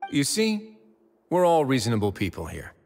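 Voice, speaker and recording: A man's voice: medium pitch, huge pitch swings, moderate pace, darkish tone, slight background noise. A man voicing a suave, authoritative prison overseer in a video game, polite yet commanding and coolly persuasive. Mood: wry, suave. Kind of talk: scripted video game dialogue. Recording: long hall reverb, music behind.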